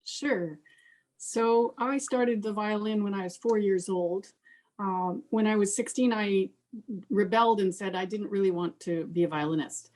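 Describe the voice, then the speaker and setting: A woman's voice: mid-range voice, unhurried, a little mellow, low-quality recording. An older woman casually introducing herself as a guest in a conversation, speaking as a professional musician. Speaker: interview guest, professional violinist.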